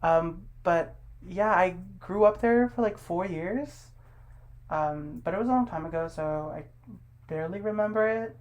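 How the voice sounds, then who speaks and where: A woman's voice: fairly low pitch, measured pace, a little mellow, muffled recording. Give me a young woman from the United States hosting a casual podcast interview, easygoing and full of fillers. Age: young adult. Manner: laid-back, many fillers. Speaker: podcast host.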